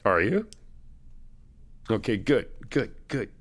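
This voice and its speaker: A middle-aged man in an ASMR friend roleplay, talking in an easygoing, reassuring, chatty way with soft laughs. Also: on the low side, sing-song, takes it slowly, breathy edge. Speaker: ASMR roleplay creator playing a best friend.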